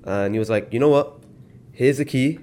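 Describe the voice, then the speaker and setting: A young British man, telling stories in a relaxed, slangy way as a podcast guest. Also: medium pitch, normal speed. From Britain. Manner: relaxed storytelling with slang and fillers. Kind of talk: casual podcast chat.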